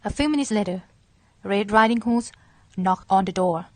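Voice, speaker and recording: A woman's voice: medium pitch, moderate pace, dry recording. A woman narrating a scripted children's story as moderator.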